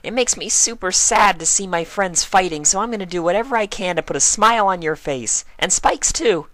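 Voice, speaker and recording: Guy doing a super high bubbly girl pony, eager and perky, storybook style. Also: expressive, a little fast, bright, forceful tone, no room sound. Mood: perky, concerned. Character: bubbly, childlike, excitable female pony.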